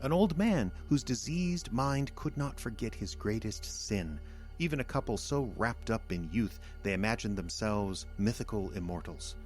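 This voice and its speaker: An actor in an audio drama playing a condescending alien invader in human guise, speaking in a measured, lecturing manner. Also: male voice, on the low side, animated, darkish tone, soft background music, lightly acted. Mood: smug.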